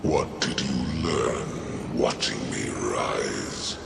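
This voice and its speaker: A massive, brutal alien warlord from a video game. The voice is extremely low and heavily gravelled, slow and imperious, dripping with contempt. It echoes from a distance under loud noise. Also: male voice, exaggerated voice. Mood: scornful anger. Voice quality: very deep, gravelly. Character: huge brutal alien warlord, a menacing phantom, proud and scornful. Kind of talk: dramatic video game voice acting.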